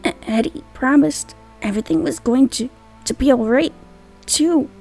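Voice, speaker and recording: A woman's voice: sits high, normal speed, a little mellow, soft background music. A woman voicing a frightened, grieving little orphan boy who stammers tearfully, in a storybook-style manga dub.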